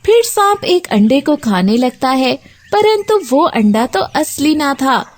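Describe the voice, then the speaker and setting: Female narrator, scripted children's tale: high pitch, lively, expressive intonation. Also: slow.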